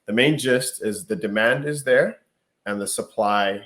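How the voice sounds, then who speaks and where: A man co-hosting a casual reaction chat as a realtor, talking in a halting way and often repeating words while he thinks. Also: lowish voice, a bit bright. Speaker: realtor co-host.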